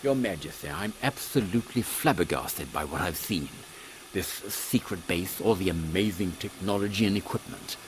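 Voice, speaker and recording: A man's voice: low-pitched, moderate pace, noisy background, close to an everyday voice. A man voicing a gallant, old-fashioned British captain with a lisp and an English accent, in a steampunk audio comedy. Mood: amazed. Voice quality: lisping. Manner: blustering, astonished.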